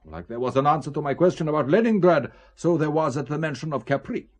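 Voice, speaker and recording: A suave, menacing count with swooping intonation. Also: male voice, fairly high pitch, expressive, a little mellow. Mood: cold menace. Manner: urbane, deliberate, guarded. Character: suave, menacing Italian count, shady art dealer. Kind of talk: spy thriller audio drama acting.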